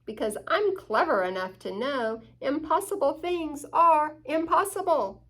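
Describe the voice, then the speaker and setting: Woman playing little vixen, storybook, high voice, smug, scoffing, very animated. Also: measured pace. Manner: scoffing, emphatic. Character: quick, clever, smug little female fox.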